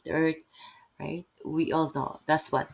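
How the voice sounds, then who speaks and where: Low husky Filipino woman teaching Bible. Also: level tone, measured pace. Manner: rambling, self-interrupting, tags many lines with "right?". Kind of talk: casual unscripted Bible teaching.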